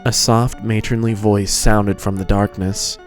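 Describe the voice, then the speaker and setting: A man's voice: on the low side, level tone, unhurried. A man reading scripted fan fiction as the narrator of a dramatized story. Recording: music behind the voice.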